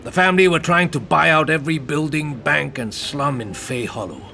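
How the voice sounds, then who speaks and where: A man's voice: on the high side, moderate pace, creaky, pushed and bright, a little hiss or hum. An actor playing a jaded, sardonic middle-aged male senior detective in an audio drama, curt and dry. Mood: weary, businesslike.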